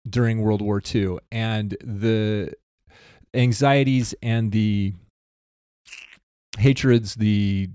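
A man's voice: fairly low pitch, steady tone, drawn-out words, darkish tone, smooth, no echo. An American man co-hosting a talk show with casual, conversational commentary.

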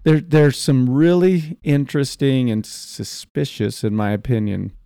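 A man's voice: mid-range voice, measured pace, vocal fry, warm tone, smooth, no echo, clean studio sound. A man co-hosting a talk show in casual chat.

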